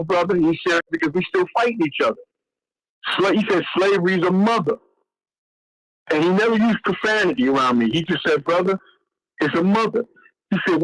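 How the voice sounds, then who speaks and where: A man in his sixties with a fairly high voice, talking in a loose, rambling way. He swears freely and trips over names mid-sentence. The sound has a broadcast radio feel. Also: poor audio. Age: 60s. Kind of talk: casual chat.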